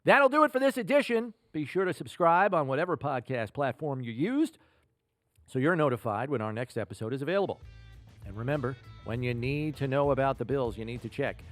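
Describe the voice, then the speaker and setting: A man's voice: mid-range voice, expressive, a little fast, dry recording, soft background music. Dry, close-sounding American male podcast host. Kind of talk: sports analysis, scripted hosting.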